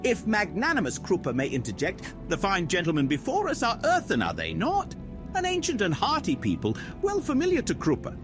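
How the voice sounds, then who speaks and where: A man's voice: high voice, wildly swooping pitch, a little fast. A man voicing a pompous, flowery male merchant who speaks of himself in the third person, over music. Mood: flattering.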